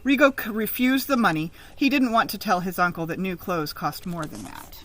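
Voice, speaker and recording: A woman reading a children's story aloud in an expressive, teacherly narrating style. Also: mid-range voice, normal speed, a little hiss or hum.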